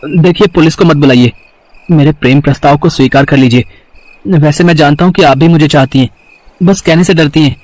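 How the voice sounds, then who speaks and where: A man's voice: fairly high pitch, a little fast, darkish tone, no echo, close to an everyday voice, rough recording. Man playing a lovestruck young student, close dry sound. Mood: pleading, insistent. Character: lovestruck young male student, earnest and pleading. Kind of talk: dramatized audio story acting.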